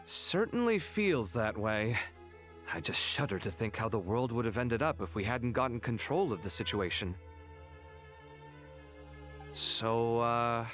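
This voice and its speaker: An actor playing a young male scholar in a game cutscene, expressive and quick to protest. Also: medium pitch, faint music behind. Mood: reflective. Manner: animated, exasperated, quick to protest.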